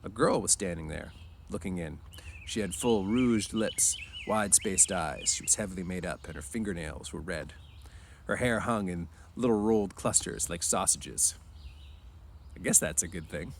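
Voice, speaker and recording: Low-voiced man reading a story aloud. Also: moderate pace, gravelly, a little hiss or hum. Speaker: reader. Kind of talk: amateur storybook read-aloud narration.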